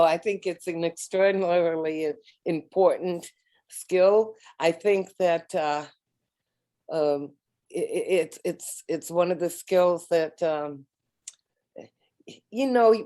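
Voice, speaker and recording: Woman educator on a video call: slow, low-ish, mellow voice, halting with repeats and fillers. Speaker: educator and panelist. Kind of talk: online round table discussion.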